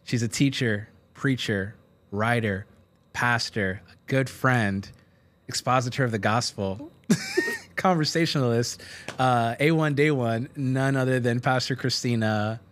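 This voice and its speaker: A man in his thirties hosting a casual faith podcast from a small studio, upbeat and quick to laugh. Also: medium pitch, huge pitch swings, a bit bright, a little hiss or hum. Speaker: podcast host. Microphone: boom-arm studio mic.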